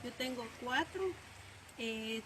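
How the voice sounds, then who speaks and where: A woman from Guatemala, a street vendor and mother of four, speaking plainly and haltingly. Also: on the high side. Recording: loud street noise with traffic.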